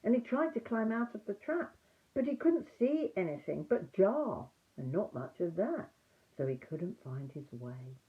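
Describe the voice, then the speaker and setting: An older woman reading a children's story aloud in an expressive, emphatic storytime manner. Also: mid-range voice, a little slow, narrow, tinny audio. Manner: animated, emphatic reading for young listeners.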